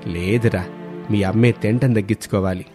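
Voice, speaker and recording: A man voicing a firm, encouraging middle-aged father-in-law in a cartoon moral story, speaking in a measured, emphatic way. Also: lowish voice, steady tone, warm tone, soft background music, voice pushed down, natural voice.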